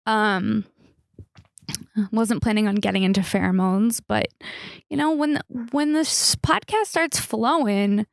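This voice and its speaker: A woman in her thirties with a creaky voice, chatting casually and candidly as a comedic podcast host, rambling and poking fun at herself. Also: medium pitch, slow, no room sound, clean studio sound. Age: 30s. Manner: rambling, candid, self-mocking, casual slangy talk. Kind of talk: casual solo podcast chat, comedic storytelling.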